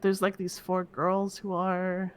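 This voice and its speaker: A woman acting as a giddy female cult killer in an online roleplay game. She rambles casually, stumbles and repeats herself, making dark confessions sound like small talk. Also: fairly low pitch, completely flat delivery, smooth. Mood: giddy, defensive. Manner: halting, repetitive, casual rambling.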